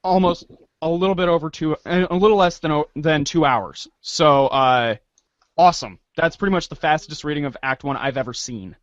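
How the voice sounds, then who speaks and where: A woman's voice: low voice, normal speed, dry recording. A woman hosting and reading in a fan readers' theater, moving between acted reading and casual asides. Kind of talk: read-along acting with casual asides. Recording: dull lo-fi audio.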